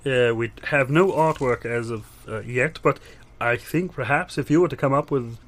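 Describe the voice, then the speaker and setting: A man's voice: medium pitch, normal speed, fairly bright tone, lightly acted. A man playing a courteous, fussy job interviewer at a greeting-card office in improvised audio comedy, polite and hesitant. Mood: earnest, fussy.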